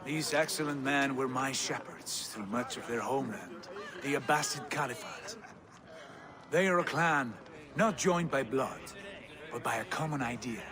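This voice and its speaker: A man's voice: medium pitch, lively intonation, unhurried, crowd noise, lightly acted. An actor playing a proud, worldly Viking warrior back from his travels, talking like a storyteller in a video game cutscene. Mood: proud, fond.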